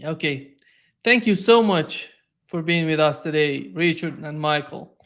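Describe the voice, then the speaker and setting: A male host talks with his guests in a casual, courteous interview style. His voice is a bit higher than most men's. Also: animated, unhurried, fairly bright tone.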